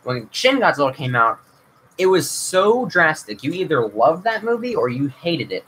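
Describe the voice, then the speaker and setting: A man's voice: on the high side, sing-song, normal speed, fairly bright tone, slight background noise, rough recording. A young man hosting his own channel, answering viewer questions in a casual livestream, rambling with lots of restarts. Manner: rambling, filler-heavy, frequent restarts. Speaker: YouTube channel host.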